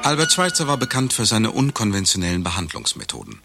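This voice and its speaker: An actor playing a matter-of-fact lecturer giving mock-documentary narration in a satirical radio play. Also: male voice, on the low side, huge pitch swings, fast talker, gravelly, loud music behind the voice, full character voice. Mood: mock-serious.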